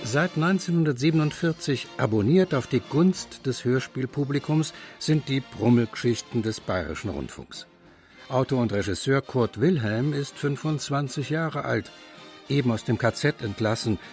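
A man reading the narration of a radio documentary feature in a measured, clear way. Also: mid-range voice, expressive, a little mellow, soft background music.

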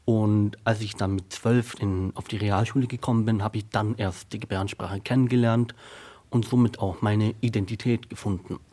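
A deaf actor in his thirties whose first language is sign language, answering a radio interview slowly and carefully. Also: fairly low pitch, fairly even intonation, mellow. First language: German Sign Language.

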